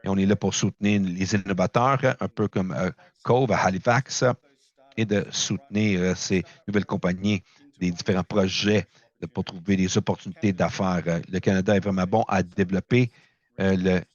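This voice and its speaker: A man giving a conference talk over a video call, halting, with many fillers, speaking French as a second language. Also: sits low, steady tone, no echo, lo-fi sound. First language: not French. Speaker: presenter at a launch event. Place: remote location, speaking in from afar.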